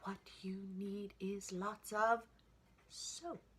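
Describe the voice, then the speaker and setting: A woman's voice: mid-range voice, level tone, drawn-out words, a bit bright, husky, voice pushed up, heavily acted. A woman voicing a fussy mother pig from a storybook, scolding in an emphatic sing-song way.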